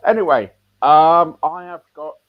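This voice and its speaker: A man's voice: on the high side, a little slow. A man from England hosting a podcast, rambling in a casual, loose way as he greets listeners and introduces his guest. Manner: rambling, full of fillers and restarts. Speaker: podcast host. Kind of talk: casual radio-style interview hosting. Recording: slightly dull, lo-fi sound.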